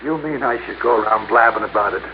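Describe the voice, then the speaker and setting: An actor playing a defiant, streetwise small-time crook with a sneering, belligerent manner in a 1950s radio crime drama. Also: male voice, lowish voice, quick pace, lightly acted. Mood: sneering, fed up. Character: cocky, defiant small-time male crook under questioning. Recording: muffled, hissy old-time radio recording.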